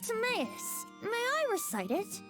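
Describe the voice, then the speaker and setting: A boyish young bard from a video game, high-voiced and lilting. He is breezy and teasing, taking his time with theatrical flourishes over soft music. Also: huge pitch swings, takes it slowly, exaggerated voice. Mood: playful, theatrical.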